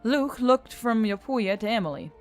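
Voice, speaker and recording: A woman's voice: a little high, lively intonation, normal speed. A woman narrating a scripted fantasy story as an audiobook reader. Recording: music under the voice.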